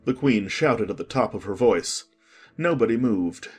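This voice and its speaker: A man narrating an audiobook in a calm, measured way. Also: on the low side, husky, soft background music.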